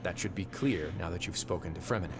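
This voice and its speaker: An actor playing a measured, wry and authoritative prison warden in a video game. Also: male voice, low voice, over loud music. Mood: firm. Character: composed, shrewd adult male prison fortress warden. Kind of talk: video game dialogue acting.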